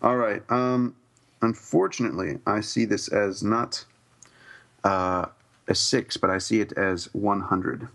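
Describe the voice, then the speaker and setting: Gravelly low-voiced man on a podcast. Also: fairly even intonation, a little slow, fairly bright tone. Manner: hedging, thinks aloud with many ums. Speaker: podcast co-host. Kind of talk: casual chat.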